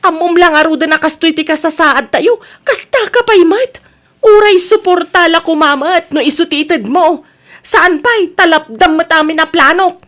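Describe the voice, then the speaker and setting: Woman acting aggrieved married daughter, very high pitch, Ilocano accent, emotive, complaining, radio comedy-drama. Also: moderate pace, a bit bright. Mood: exasperated. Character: aggrieved married daughter, Zoraida, frustrated with her husband.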